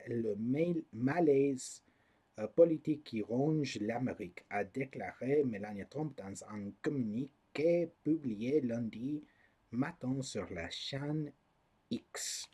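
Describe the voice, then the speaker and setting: A man reading news aloud in French as a non-native speaker, adding halting commentary with many fillers and frequent mocking laughter. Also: mid-range voice, warm tone, slightly rough. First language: not French. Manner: laughs often, swears mockingly at figures in the news.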